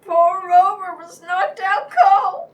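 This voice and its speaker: A woman's voice: very high voice, lively intonation, slow, recorded from a distance, heavily acted. A woman playing a perky, folksy young cowgirl in an old-time radio advertising skit.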